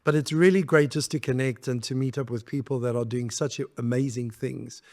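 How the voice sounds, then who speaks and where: A middle-aged man from South Africa, a podcast guest talking reflectively in a relaxed interview and laughing easily. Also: mid-range voice, fairly quick. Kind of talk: conversational interview.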